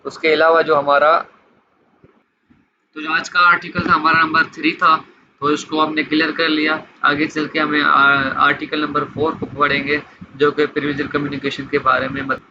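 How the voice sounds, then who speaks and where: A man's voice: on the high side, level tone, normal speed. A man giving an explanatory law lesson, reading statutes aloud and explaining them in a repetitive, question-and-answer way. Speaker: law lecturer. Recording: dull lo-fi mic with light background noise.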